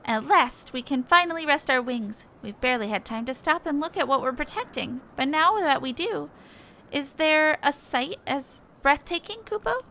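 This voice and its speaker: A woman's voice: sits high, lively intonation, moderate pace, sharp tone, heavily acted. A woman voicing a small, earnest moogle guard creature, plucky and exclaiming, reading game dialogue expressively. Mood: proud, relieved.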